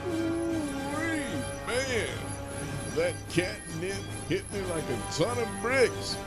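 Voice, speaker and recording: A man's voice: extremely high pitch, animated, takes it slowly, heavily acted. A man voicing a groggy, whiny, jittery crewman in a comic space cartoon. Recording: loud backing music behind voice.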